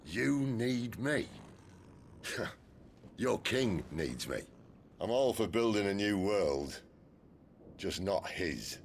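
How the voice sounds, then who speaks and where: A man's voice: fairly low pitch, big pitch swings, slow, pauses often, a little hiss or hum, exaggerated voice. An actor playing a weary male outlaw leader in a game cutscene, dry and sardonic. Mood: scornful. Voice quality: gravelly.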